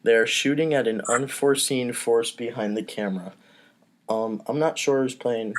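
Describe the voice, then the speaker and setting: A man's voice: medium pitch, normal speed. A man hosting a comedy podcast, casually reading out stage directions and steering a table read.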